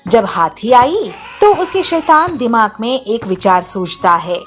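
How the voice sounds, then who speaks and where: A woman's voice: fairly high pitch, lively intonation, measured pace, husky, soft background music. A woman narrating a scripted moral story for children.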